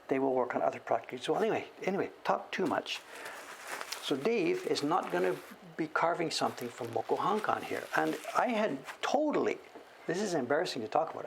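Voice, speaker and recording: A man's voice: on the high side, creaky, a little hiss or hum, rough recording. A man in his late sixties chatting casually on a livestream about his printmaking work, rambling and correcting himself and often calling himself by name. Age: 60s. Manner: rambling, self-correcting, talks about himself in third person.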